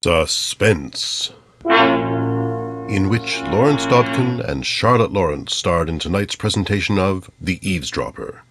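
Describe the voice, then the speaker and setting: A man's voice: low-pitched, expressive, slow. A man giving a formal, dramatic, scripted announcement in the style of a 1950s radio thriller. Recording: loud brass music behind voice. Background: loud orchestral brass music.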